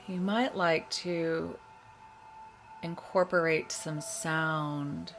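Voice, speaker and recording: A woman leading a guided meditation, giving soothing, measured instructions with long pauses between phrases. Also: low voice, a bit bright. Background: meditation music.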